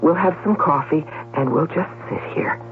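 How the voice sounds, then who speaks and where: A woman's voice: low voice, normal speed, noisy background, natural voice. Tinny radio-drama actress as a breezy, self-assured woman columnist. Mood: coolly controlled. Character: brisk, self-assured woman newspaper columnist, coolly solicitous. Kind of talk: 1970s radio drama acting. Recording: tinny, humming old radio broadcast recording.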